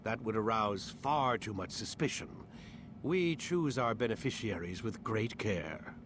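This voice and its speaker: An actor playing an imperious older scientist in a modern-day video game scene, formal and condescending. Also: male voice, fairly low pitch, animated, measured pace, noisy background. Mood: smug. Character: imperious older male scientist villain, smug, impatient.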